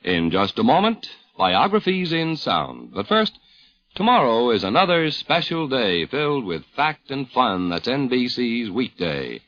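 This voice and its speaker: A man's voice: medium pitch, sing-song, a little slow, a bit bright. An American man doing promotional announcements as a network announcer on 1950s radio. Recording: dull vintage 1950s radio broadcast.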